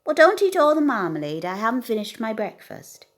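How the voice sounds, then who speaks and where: A curious, cheerful little animal chap from a bedtime storybook, voiced by a woman reader. He sounds high and inquisitive, with springy, animated intonation. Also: a little fast.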